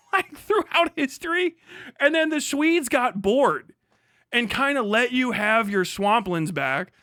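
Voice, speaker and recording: An American man with a foul-mouthed, animated, mocking manner, ranting casually as host of a live stream. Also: very high voice, slow pace, no room sound, studio quality. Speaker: livestream host.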